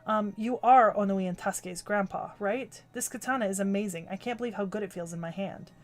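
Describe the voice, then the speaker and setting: A woman's voice: medium pitch, a little fast, lightly acted. A woman voicing a cheerful, eager young swordswoman in a bubbly, chatty way, reading a game's story aloud on stream. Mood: excited.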